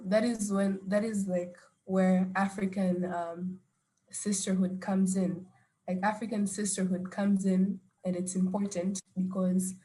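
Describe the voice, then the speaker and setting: A woman with a Tanzanian accent giving an informal talk in a hesitant, halting way. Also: on the low side, warm tone, low-quality recording. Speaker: speaker at an online women's gathering.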